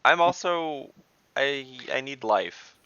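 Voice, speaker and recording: A man's voice: mid-range voice, animated, slow pace, dull audio, crowd noise. A man chatting casually and jokily as a player in a tabletop role-playing game. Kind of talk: casual joking game chat.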